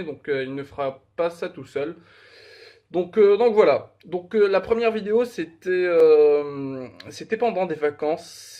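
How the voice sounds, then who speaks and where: A man's voice: fairly high pitch, darkish tone. A young man from Morocco talking casually in a vlog, hesitant, with many fillers and restarts, candid and self-deprecating. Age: young adult. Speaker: fitness vlogger.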